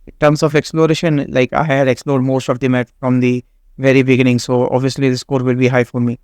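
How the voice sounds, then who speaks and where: A man, not a native English speaker, hosting a game walkthrough in a halting way with many fillers and restarts. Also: medium pitch, flat delivery, a little mellow, no room sound, studio quality. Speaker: gaming channel host. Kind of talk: casual game walkthrough commentary.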